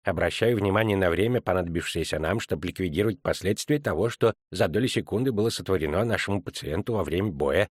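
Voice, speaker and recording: A man's voice: low voice, fast, few pauses, slightly rough, dry recording, voice pushed down. A man voicing a sardonic male field surgeon who lectures his assistants in a dry tone. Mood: dryly lecturing.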